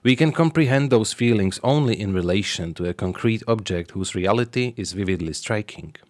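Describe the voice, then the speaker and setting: A man with a Slovak accent talks through a scripted explainer in English, his first language being Slovak. His voice sits on the low side and is recorded close and dry, with no room sound. He reads in a measured, careful way. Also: a little mellow, dry recording. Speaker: sociologist and marketer presenting his channel.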